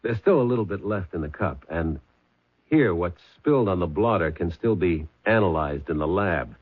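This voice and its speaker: A man playing a calm, dogged police detective in a 1970s radio drama, talking mildly and hesitantly with many pauses. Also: sits low, slightly rough. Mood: firm. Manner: mild, hesitant, with many uh's. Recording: phone-like old radio broadcast.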